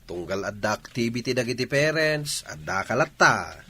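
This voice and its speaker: A man's voice: medium pitch, big pitch swings, normal speed, sharp tone, husky, full character voice. A man playing a mildly aggrieved Ilocano village husband, talking conversationally in a comedy radio drama. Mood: inquiring. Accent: Filipino, Ilocano.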